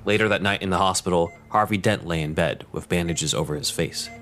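A man's voice: sits low, fairly quick. A man reading scripted narration for an audio drama. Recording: loud music under the voice.

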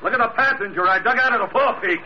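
An actor playing a brisk, rough chief mate on a sailing ship, slangy and excitable, in a 1940s radio adventure. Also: male voice, high voice, lightly acted. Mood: excited, indignant. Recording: muffled, noisy old radio recording.

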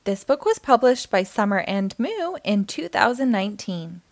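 A woman hosting a storytime, reading a children's picture book aloud in an expressive, theatrical way. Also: medium pitch, moderate pace, no echo. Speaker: storytime host and reader.

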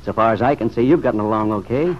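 Bitter, tough-talking young veteran played by an actor. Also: male voice, lowish voice, quick pace, close to an everyday voice. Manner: clipped, sardonic, slangy. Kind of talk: old-time radio drama acting. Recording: dull, noisy vintage radio recording.

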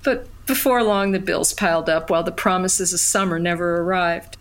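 A woman's voice: lowish voice, fairly even intonation, moderate pace, lightly acted. An actress playing a wistful woman who narrates memories of her lost love in a reflective, plain-spoken way. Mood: wistful, regretful. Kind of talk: first-person dramatic storytelling.

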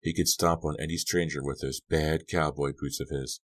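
A sharp-tongued teenage girl, disdainful and sure of herself, as read by a male narrator. The audiobook voice stays very low, with a scornful edge. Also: fairly even intonation, moderate pace. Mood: grudging. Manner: scornful, certain.

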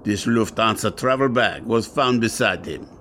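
A man's voice: fairly low pitch, normal speed, fairly bright tone, a little hiss or hum, lightly acted. Man playing a formal, deferential French policeman. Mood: formal, dutiful. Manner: formal, reporting. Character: deferential French policeman reporting to his inspector. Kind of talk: audio drama acting.

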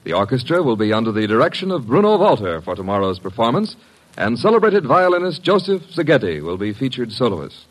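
A man's voice: mid-range voice, sing-song, brisk, poor audio. A man announcing in grand, emphatic, scripted mid-century radio style on an old broadcast recording. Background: music.

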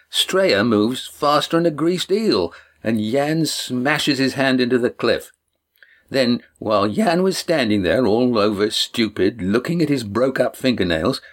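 A man voicing a cheerful young male sailor who tells a tale with rough country grammar. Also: medium pitch, voice pushed up. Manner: cheerful, lively storytelling.

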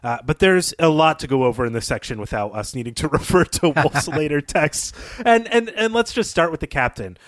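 A man's voice: on the high side, animated, a little fast, few pauses, no room sound, clean studio sound. A man co-hosting a literary podcast, talking through a story in a conversational, thoughtful, explanatory way. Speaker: podcast co-host.

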